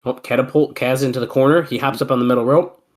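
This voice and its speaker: A man's voice: mid-range voice, fast talker. A man who is sick with a cold, co-hosting a podcast and casually calling the moves of an old wrestling match while bantering with his co-host. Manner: relaxed play-by-play mixed with banter. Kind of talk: casual wrestling commentary chat.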